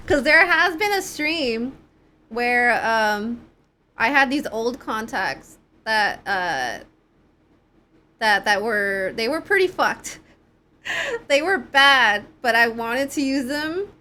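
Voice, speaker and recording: A woman's voice: a little high, sing-song, slow pace, pauses often, pushed and bright, slight background noise. A young woman chatting informally and casually to viewers on a live game stream, with an easygoing sweary, giggly manner. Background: game music.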